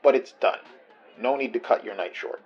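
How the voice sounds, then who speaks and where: Distracted detective partner, medium pitch, muffled sound, music behind, audio drama. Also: male voice, normal speed, husky, a faint crowd. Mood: reassuring. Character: distracted, reassuring male detective partner on call. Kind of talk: naturalistic horror fiction podcast acting.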